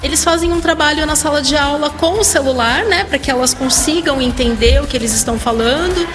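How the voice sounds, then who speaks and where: High-voiced woman, school vice-principal. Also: fairly quick, a bit bright, big-room sound, soft background music. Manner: explanatory, with restarts and "né". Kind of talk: interview soundbite.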